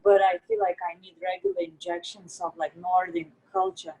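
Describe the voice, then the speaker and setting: Husky-voiced woman, animated and chatty. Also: fairly low pitch, moderate pace, low-quality recording. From Lithuania. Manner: animated, laughing often, lots of "like". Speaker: artist in a collaboration. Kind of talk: casual video-call chat. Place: office in Manhattan.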